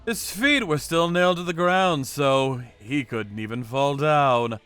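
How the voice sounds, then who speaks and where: A man's voice: fairly high pitch, measured pace, a bit bright, smooth. A man narrating a manga story in the first person with a theatrical, cocky delivery.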